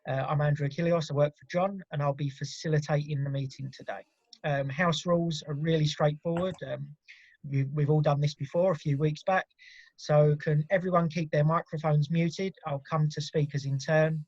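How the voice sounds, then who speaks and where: A man facilitating an online meeting, giving a brisk, businesslike and informal introduction. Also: on the high side, steady tone, darkish tone, no room sound, highs cut off. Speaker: meeting facilitator, political staffer.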